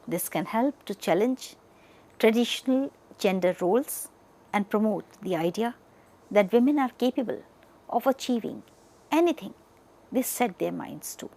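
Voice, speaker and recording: Woman, media lecturer, animated intonation, creaky edges, emphatic deliberate phrasing, educational delivery. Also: medium pitch. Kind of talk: educational lecture, partly read from notes.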